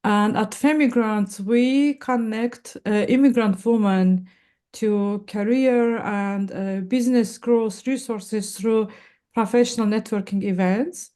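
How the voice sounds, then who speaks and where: A young woman explains her organization in a calm, measured way over a video call. Her voice is mellow and smooth, and she talks at an unhurried pace. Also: medium pitch. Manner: measured, explanatory, with light laughs and fillers. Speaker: nonprofit founder, guest. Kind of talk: casual video-call interview. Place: Silicon Valley, indoors.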